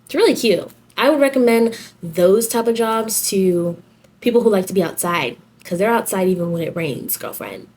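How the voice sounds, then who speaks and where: A woman's voice: mid-range voice, animated, moderate pace, darkish tone, a little hiss or hum. A young woman giving casual advice in a chatty, slangy, girlfriend-to-girlfriend way, like a vlogger. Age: young adult. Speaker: vlogger giving application tips.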